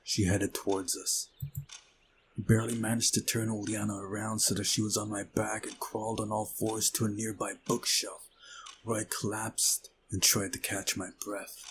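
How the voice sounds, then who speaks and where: A man's voice: fairly low pitch, little pitch movement, normal speed, a little hiss or hum. A man reading a visual novel aloud as narration, with casual asides of his own. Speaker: let's play narrator. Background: game music.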